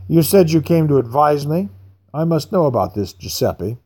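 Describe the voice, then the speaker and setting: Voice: medium pitch, sing-song, normal speed, breathy edge. A reader voicing a stern military major who speaks firmly and sharply. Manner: firm, sharp, businesslike.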